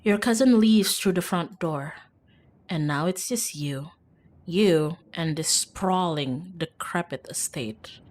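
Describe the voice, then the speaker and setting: A woman's voice: fairly low pitch, slow, a little mellow. Woman reading as wry visual novel narrator. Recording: background music.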